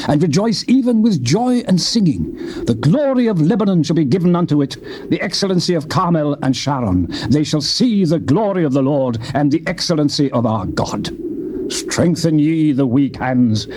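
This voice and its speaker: Solemn, lively male scripture narrator. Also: a little high, background noise. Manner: solemn, declamatory reading. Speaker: scripture reader.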